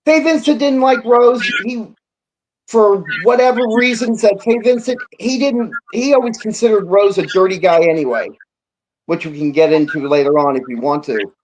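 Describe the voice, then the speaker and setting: A man's voice: sits high, normal speed, slight background noise, low-quality recording. A man in a casual sports debate, explaining his view in an insistent yet conciliatory way.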